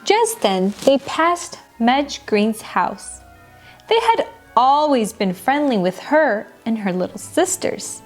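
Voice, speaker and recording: A woman reads a children's bedtime story with a very animated, swooping delivery. Her voice sits fairly high and she takes her time over each phrase. Music plays clearly behind her. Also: takes it slowly. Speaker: storyteller, children's show host. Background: background music.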